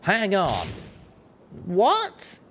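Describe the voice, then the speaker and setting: A man's voice: high voice, huge pitch swings, very slow, a bit bright, a little hiss or hum, voice pushed up, full character voice. A man voicing an anxious, hesitant young female pony heroine in a story reading. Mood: alarmed.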